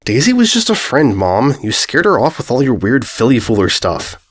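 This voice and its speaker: Man doing a young female cartoon pony, sulky, flustered, defensive, grumbling, animated pitch. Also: normal speed. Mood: defensive, exasperated.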